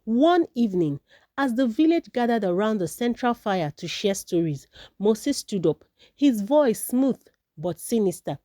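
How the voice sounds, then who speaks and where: Woman, storyteller, scripted folktale narration, mellow tone, expressive pitch, dry close sound. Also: measured pace, dry recording.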